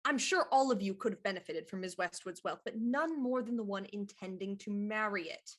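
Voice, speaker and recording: An actress playing a composed, shrewd police inspector in a period radio murder mystery, with a dry, deliberate delivery. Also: female voice, mid-range voice, lightly acted. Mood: confident deduction. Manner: deliberate, dry, theatrical deduction.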